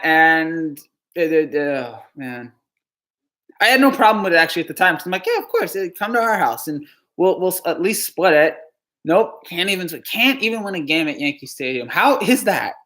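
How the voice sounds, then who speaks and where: A man from New Jersey on a live stream, answering chat questions in a halting, dejected way, with frequent stammered restarts and sighs. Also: high voice, lively intonation, sharp tone.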